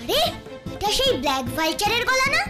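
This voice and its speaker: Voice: very high-pitched, sing-song, a little fast. A performer voicing a plucky, caring child ghost in a children's cartoon, eager and exclamatory. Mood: thoughtful, urgent. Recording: children's music behind.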